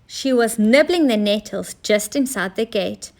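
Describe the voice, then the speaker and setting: Slow-paced woman reading a children's story. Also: on the high side, gravelly. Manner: expressive, deliberate. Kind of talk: scripted children's story narration.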